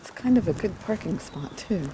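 A middle-aged woman chatting casually and gently while walking outdoors, as in an ASMR roleplay. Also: mid-range voice, lively intonation. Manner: gentle, unhurried, meandering. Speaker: ASMR video creator. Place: outdoors on paths through woods and streets. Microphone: handheld camera at arm's length. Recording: outdoor ambient noise, passing traffic.

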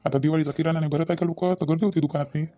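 A man's voice: fairly high pitch, steady tone, rapid-fire, warm tone, lightly acted. A man voicing a defensive, irritable village husband and father in a comedy cartoon, with a rural Vidarbha Marathi accent, arguing and repeating himself. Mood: irritated, defensive. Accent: rural Maharashtrian, Vidarbha. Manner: argumentative, self-justifying, repeats himself.

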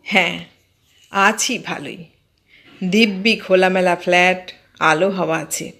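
A woman voicing a mild, stubbornly sceptical man in an audio story, explaining things in a measured, matter-of-fact way. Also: lowish voice, a bit bright. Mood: calm, unruffled.

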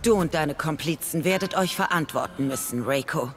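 An actress playing a stern, loyal royal guard warrior burdened by past failure, in dramatic video game dialogue. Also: female voice, sits low, normal speed, music in the background, natural voice. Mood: defiant, urgent. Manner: solemn, resolute.

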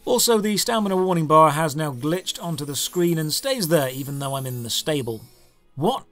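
A man's voice: fairly high pitch, lively intonation, moderate pace, a bit bright. A British man narrating a scripted video game review in a sarcastic, exasperated manner. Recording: music under the voice. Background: background music.

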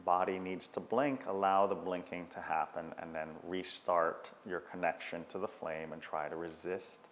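A man guiding a meditation with unhurried, measured instructions and long pauses, in a dull recording with long reverb. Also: low-pitched, fairly even intonation, a little hiss or hum. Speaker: meditation guide. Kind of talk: guided meditation.

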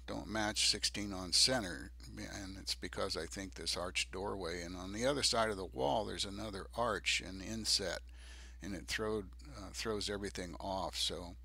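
An older man narrating a DIY project video off the cuff, halting and hesitant, with many ums. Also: sits low, vocal fry, bright, forceful tone, no room sound. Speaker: DIY presenter.

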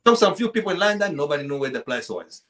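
High-pitched Central African man telling stories. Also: big pitch swings, fast, fairly bright tone. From Congo. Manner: repeats phrases, acts out dialogue. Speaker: podcast guest, poet. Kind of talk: animated personal storytelling in casual chat. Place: small indoor room at home, video call.

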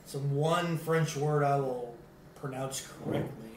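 A man's voice: medium pitch, a little slow, a little mellow. A young American man co-hosting a beer tasting, talking casually in a goofy, joking, sweary way. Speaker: co-host of a beer tasting show. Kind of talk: casual chat. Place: small kitchen at a table, indoors. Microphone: camera mic across the table. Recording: echoey room, distant mic, hiss.

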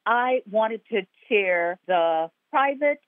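A woman's voice: on the high side, animated, slow pace, dry recording. Woman from guyana, earnest guest interview, phone line, dry sound. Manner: earnest, reflective, builds in repeated phrases. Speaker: actor and playwright guest. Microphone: telephone.

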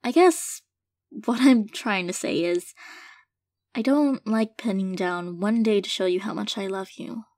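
Woman performing a loving girlfriend, audio roleplay, sincere, hesitant, slow delivery, close and dry sound. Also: medium pitch, dry recording, close to an everyday voice. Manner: soft-hearted, hesitant, halting, gently sincere. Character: affectionate girlfriend tenderly reassuring her partner. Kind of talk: intimate audio roleplay, casual monologue to listener.

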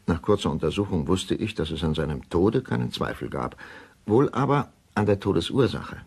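An actor playing a troubled middle-aged male doctor, narrating a crime radio play in a grave, measured, deliberate way. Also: low voice, animated, gravelly, a little mellow, slightly rough, heavily acted. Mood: grave, reflective. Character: troubled male doctor recounting a suspicious death. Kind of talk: first-person radio drama narration.

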